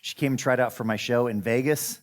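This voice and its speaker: A man's voice: medium pitch, fairly quick. A middle-aged man, a magician guest, casually telling his life story in an interview and acting out bits of dialogue. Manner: animated storyteller who acts out quoted dialogue.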